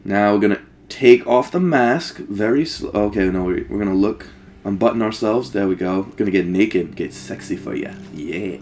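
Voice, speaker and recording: A man's voice: lowish voice, moderate pace, a little mellow, slight background noise. A man hosting a Let's Play, giving casual, jokey game commentary with exclamations and swearing.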